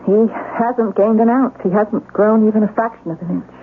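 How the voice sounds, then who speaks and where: A woman's voice: medium pitch, moderate pace, close to an everyday voice. An actress playing an ambitious, nagging wife and new mother in a radio drama, with an insistent, emphatic delivery. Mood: troubled. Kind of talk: 1970s radio drama acting. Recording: noisy, tinny old radio broadcast.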